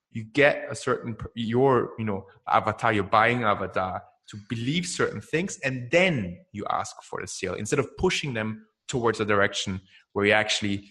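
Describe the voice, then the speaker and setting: A young man from Austria whose first language is German, speaking as a podcast guest in a chatty, energetic way and often tagging "right?" onto his points. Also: medium pitch. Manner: energetic, chatty, frequent "right?" tags and laughs. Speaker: guest, marketing founder. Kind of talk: casual podcast interview.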